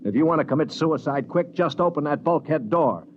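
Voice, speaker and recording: A man's voice: fairly high pitch, lively intonation, brisk, a little mellow, slight background noise, lightly acted. A man acting in an old-time radio drama as a cynical, level-headed former space pilot who narrates in a dry, authoritative way. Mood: sardonic, explaining. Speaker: narrator and lead actor. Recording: muffled old radio recording with music.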